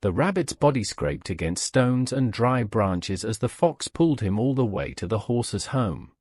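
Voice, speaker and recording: Expressive male storyteller with a dry sound. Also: mid-range voice, normal speed, no echo. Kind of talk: scripted moral story narration.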